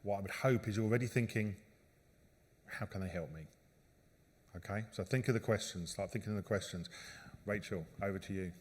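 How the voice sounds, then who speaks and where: A male moderator with a low, husky voice keeps things moving briskly and upbeat. He sounds distant from the mic, with a long hall reverb. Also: darkish tone. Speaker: panel moderator. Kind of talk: conference moderation.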